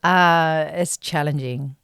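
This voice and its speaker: A woman speaking as an interview guest on a podcast, halting and thoughtful, with many pauses. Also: sits low, steady tone, a bit bright, smooth, no room sound, clean studio sound. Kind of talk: conversational interview.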